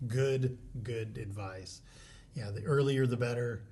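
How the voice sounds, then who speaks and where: A man's voice: medium pitch, slow, warm tone, slight background noise. A man hosting a college podcast, chatting in a friendly, upbeat way with a guest. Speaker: podcast host. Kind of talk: casual interview chat.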